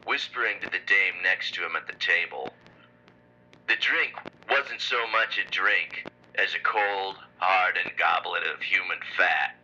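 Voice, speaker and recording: A man playing a grim, hard-boiled pulp storyteller in an audio drama, raspy and deliberate, heard on a lo-fi tape. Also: low voice, pushed and bright, exaggerated voice. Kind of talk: noir scripted narration in an audio drama. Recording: dull lo-fi cassette tape playback.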